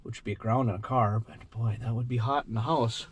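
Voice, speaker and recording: A man's voice: mid-range voice, fairly even intonation, fairly quick, a little hiss or hum. Man, middle-aged, rambling work-along chatter, talks to himself, garage workshop, faint clicks behind. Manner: rambling, self-talking while working, mutters at parts. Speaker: vlogger doing truck and shop repairs. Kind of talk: casual chat while working.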